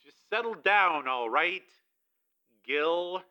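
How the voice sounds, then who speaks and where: A man's voice: high voice, normal speed, clear, resonant tone, pitched above the performer's own voice. A man voicing a weary, curt corporate security guard in an audiobook, with a tinny tone and a warning manner. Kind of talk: audiobook character acting.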